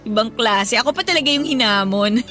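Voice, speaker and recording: A woman acting as a bubbly, feisty young woman on a date, with a high voice and a Filipino accent. She is playful and sassy, in audio drama style, with music playing underneath. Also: animated, brisk, sharp tone. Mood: playful, teasing. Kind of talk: Tagalog audio drama dialogue.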